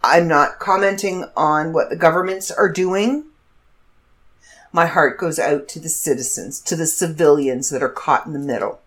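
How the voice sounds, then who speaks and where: A woman's voice: sits low, normal speed, fairly bright tone. A congested woman in her fifties, hosting a casual solo podcast and rambling through stories with self-corrections. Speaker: podcast host.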